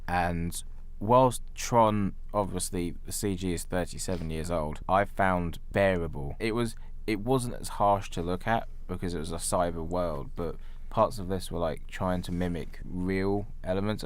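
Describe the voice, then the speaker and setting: Very low-voiced man giving blunt film opinions. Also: moderate pace, hardly any pauses. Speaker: podcast co-host. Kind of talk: casual film review chat.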